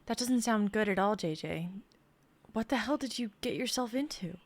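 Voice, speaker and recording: A woman's voice: a little high, expressive, moderate pace. A young woman playing a protective, worried store worker in a dramatic script reading. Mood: concerned. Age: young adult.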